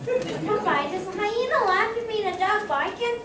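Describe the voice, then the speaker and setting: Squeaky-high curious cartoon child, noisy echoey stage recording. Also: female voice, animated, moderate pace. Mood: eager. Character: eager, sweet, curious little kid in cartoon radio play. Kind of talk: old radio comedy acting. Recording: echoey stage, far mic, clear noise.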